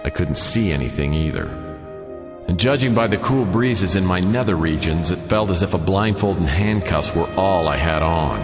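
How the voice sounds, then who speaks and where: An actor playing a wisecracking hard-boiled detective who narrates in a dry, sardonic noir style. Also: male voice, low-pitched, expressive, normal speed. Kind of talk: noir radio drama narration. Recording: reverberant with music behind.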